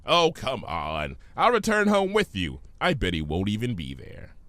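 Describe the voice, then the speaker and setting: A man's voice: fairly low pitch, huge pitch swings, a little slow, a bit bright, no room sound, pitched below the performer's own voice, heavily acted. A man voicing a smug, mischievous male trickster creature with a breezy, dismissive drawl in a story reading.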